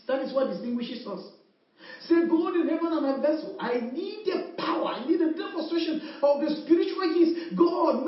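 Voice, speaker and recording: A woman preaching a sermon, repeating emphatic refrains and calling out to the congregation. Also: sits high. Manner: repetitive call-and-response preaching, emphatic refrains. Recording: echoey hall, distant mic, dull sound.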